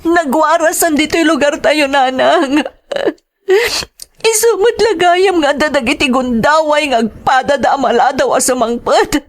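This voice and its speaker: A woman acts out a wronged young wife in an audio melodrama. Her high voice has an Ilocano accent. She speaks with emotional emphasis, wounded yet fiery. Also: unhurried. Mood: tearful, betrayed. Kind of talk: melodramatic audio serial acting.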